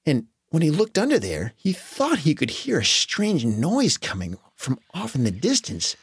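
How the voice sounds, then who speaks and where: A man narrating a children's story in a dramatic, animated way with exaggerated emphasis. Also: a little high, unhurried, slightly rough.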